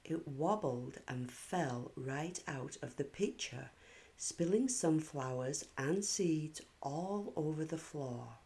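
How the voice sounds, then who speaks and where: A woman's voice: low voice, animated, slow, mellow. A woman hosting and narrating a children's storybook read-aloud.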